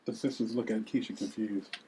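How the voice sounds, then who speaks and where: A man's voice: on the low side, fairly even intonation, brisk, dark tone, slight background noise, rough recording. A man narrating scene headings and stage directions at a script table read.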